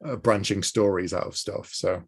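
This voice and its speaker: A man's voice: sits low, fairly even intonation, moderate pace, breathy edge. A man from Newcastle hosting an interview, chatting agreeably with his guest over a video call. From Newcastle, England. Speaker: interview host. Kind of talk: casual interview conversation.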